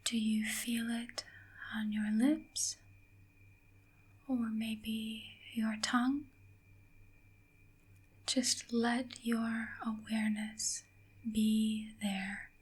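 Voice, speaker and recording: A woman softly whispering a guided ASMR meditation in a gentle, calming manner, leaving long pauses between short phrases. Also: fairly high pitch. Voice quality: soft, breathy whisper.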